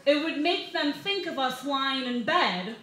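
A woman in her twenties with an American accent, reading a comedic personal essay live with wry, deliberate timing at a bar microphone. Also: sits high, expressive. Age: 20s. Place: small bar reading series, indoors. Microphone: microphone on a stand, held close. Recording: roomy live bar, some background noise.

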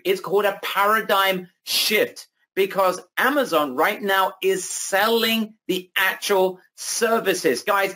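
Hoarse, bright-voiced man pitching hard. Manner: emphatic, repetitive hype, addresses audience as guys. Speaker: webinar presenter. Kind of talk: sales pitch webinar.

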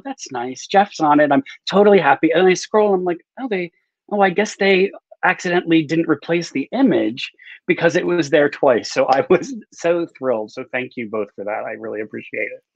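A man's voice: a little high, no echo. A middle-aged American man, an author being interviewed, chatting casually and telling stories on a video call with slightly muffled sound. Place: book-lined room at home, on a video call.

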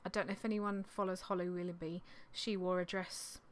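A woman in her thirties, slightly hoarse from losing her voice, chatting in a rambling, apologetic way on a vlog, recorded in a small indoor craft room on a desktop mic. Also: on the low side, level tone, a little fast, a little hiss or hum. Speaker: clothing reseller vlogger. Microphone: desktop condenser mic on an arm.

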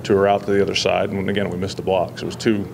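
A man in his forties, a football head coach, answering reporters after a loss in a measured, halting way with many fillers. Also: low voice, flat delivery, vocal fry, background noise. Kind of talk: post-game press scrum. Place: indoor press backdrop area. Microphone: several handheld reporter mics.

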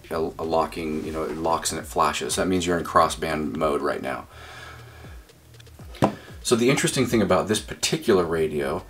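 A man giving a casual hands-on demonstration as a radio hobbyist, explaining informally and thinking aloud as he goes. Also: low voice. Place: indoors at a desk.

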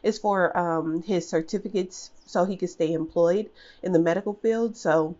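A woman with a stuffy, congested head-cold voice sits lower in pitch as she talks through her planner pages. She rambles in a casual way, pausing with ums and restarting sentences. The recording is a little dull and lo-fi. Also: warm tone. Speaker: budgeting channel host. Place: at home, over a desk. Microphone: camera above the desk, speaker off screen.

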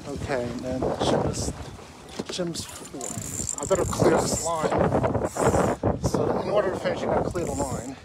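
A man giving a running, excited commentary on a sailboat race while sailing his own small boat and filming on a phone. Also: on the high side, unhurried, heavy background noise. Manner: excited, breathless running commentary, talking to himself. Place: small sailboat out on open water, windy. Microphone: phone camera held on the boat.

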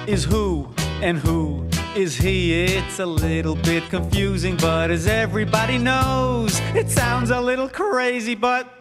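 A man's voice: high voice, measured pace, hardly any pauses. A man voicing a friendly bearded cartoon cowboy who patiently explains things and breaks into cheerful song. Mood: singing cheerfully. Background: loud music.

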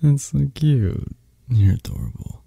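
A man playing a flirty, possessive but tender mafia boss in an intimate romantic ASMR roleplay, murmuring slowly and hesitantly in a tired, husky voice. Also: animated, dark tone, no room sound, heavily acted. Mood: loving, sleepy. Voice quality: low, husky, gravelly. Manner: slow, hesitant, murmured endearments with pauses. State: tired, drowsy. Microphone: close microphone.

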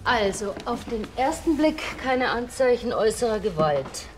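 A woman playing a wry, brisk pathologist in a TV crime comedy, teasing and businesslike. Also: fairly high pitch, big pitch swings, slight background noise. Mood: clinical, brisk.

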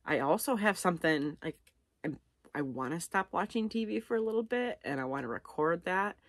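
A woman's voice: on the low side, expressive, a little fast, no echo, clean studio sound. A middle-aged woman chatting casually and unscripted to camera, rambling and self-deprecating as she thinks aloud. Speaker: vlogger. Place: living room at home, on a couch.

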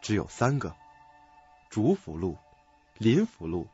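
A man with a husky voice reading scripted narration for a suspense radio drama. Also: fairly low pitch, huge pitch swings, slow pace, darkish tone. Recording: dull lo-fi audio. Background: faint music.

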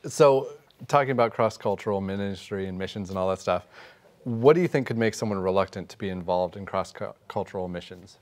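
An American man in his thirties hosts a casual discussion at a studio desk. His voice is fairly low, caught on a lapel mic. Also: brisk. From United States. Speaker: panel discussion host.

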